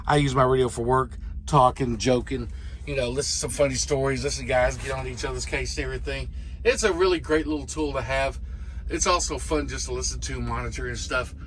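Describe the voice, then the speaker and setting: A man's voice: medium pitch, brisk, a bit bright. A middle-aged American man, a truck driver, giving a casual hands-on tutorial in a plain, folksy way. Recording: clearly noisy vehicle cab.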